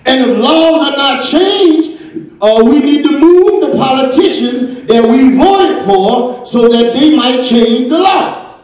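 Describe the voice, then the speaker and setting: A middle-aged man preaching a sermon from a church pulpit into a handheld microphone, punctuating every phrase with "uh" and "praise the name of God," often shouting. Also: very high voice, lively intonation, takes it slowly. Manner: shouting, gesturing with raised hand. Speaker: pastor. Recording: very echoey church hall, from a distance.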